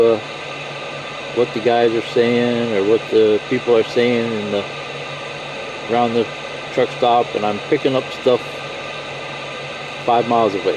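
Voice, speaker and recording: A man's voice: medium pitch, background noise. A middle-aged man giving a casual, rambling, plain-spoken hands-on walkthrough of a gadget, explaining as he goes. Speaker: CB radio video presenter.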